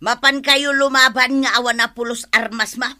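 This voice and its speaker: A woman's voice: a little high, steady tone, moderate pace, sharp tone, no room sound, clean studio sound. A woman with an Ilocano accent playing a mocking, doubtful wife who teases and scolds in a radio comedy drama. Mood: scolding.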